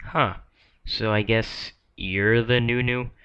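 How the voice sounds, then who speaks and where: Man acting slow, crisp-voiced, wry night guard. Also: lowish voice, fairly even intonation, bright, forceful tone, dull audio. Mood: wry, uneasy. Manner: muttering, wry, talking to himself. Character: wry, uneasy male night guard muttering alone. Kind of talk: horror game cutscene monologue.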